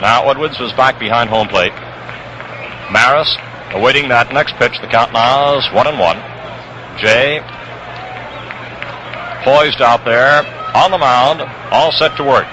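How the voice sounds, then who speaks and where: A man's voice: medium pitch, measured pace, pauses often, lo-fi sound. Noisy 1960s radio baseball announcer, American man. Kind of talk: 1960s radio sports commentary. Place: ballpark broadcast position. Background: crowd hum, noise.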